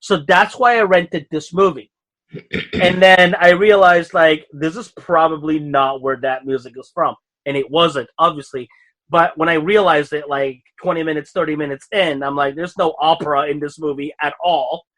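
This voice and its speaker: A man's voice: fairly high pitch, fairly even intonation, normal speed, fairly bright tone, rough recording. A man from Europe whose first language is not English, co-hosting a podcast and telling a rambling story with laughs along the way. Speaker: podcast co-host. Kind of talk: casual chat.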